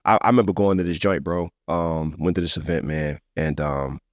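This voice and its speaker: A middle-aged American man hosting a podcast, telling stories in a casual, slangy, animated conversational way. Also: deep voice, normal speed, no room sound, studio quality. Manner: animated storyteller with slangy asides. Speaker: podcast host.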